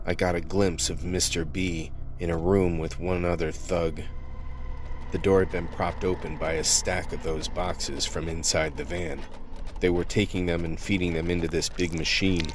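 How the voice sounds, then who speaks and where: A man's voice: low voice, a little hiss or hum, natural voice. An actor playing a streetwise undercover vice cop, telling his story in hard-boiled, colloquial radio play narration. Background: prominent music.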